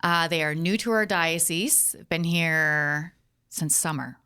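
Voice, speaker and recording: A woman's voice: deep voice, slow pace, bright, forceful tone, clean tone. A woman hosting a casual studio interview, friendly and chatty, laughing easily. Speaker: talk show host.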